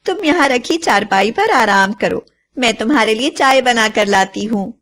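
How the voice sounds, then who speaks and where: A woman voicing a lonely, caring and anxious village mother, plaintive and expressive, in an animated moral story. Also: fairly high pitch, normal speed. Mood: warm.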